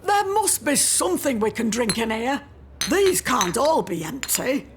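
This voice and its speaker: High-voiced, bossy pub landlady. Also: big pitch swings, a little slow, husky, full character voice. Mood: exasperated. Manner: blunt, bossy. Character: brusque, exasperated village pub landlady. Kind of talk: comedy audio drama acting.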